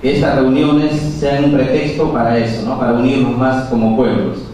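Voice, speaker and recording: A man's voice: medium pitch, flat delivery, darkish tone, a little hiss or hum. Man speaking in an echoey hall. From Peru. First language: Quechua. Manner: measured, many pauses and fillers. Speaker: conference speaker. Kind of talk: formal conference talk. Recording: echoey hall, far from mic.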